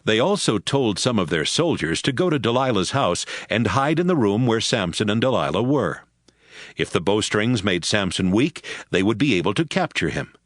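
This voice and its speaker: A man's voice: fairly low pitch, big pitch swings, normal speed, dry recording, clean studio sound. A man giving scripted narration for a Bible audio drama.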